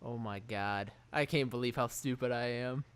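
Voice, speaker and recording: A man's voice: mid-range voice, normal speed, clean tone, dry recording, lightly acted. A man playing a friendly, easygoing stranded motorist in an audio drama, talking casually with slang. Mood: sheepish.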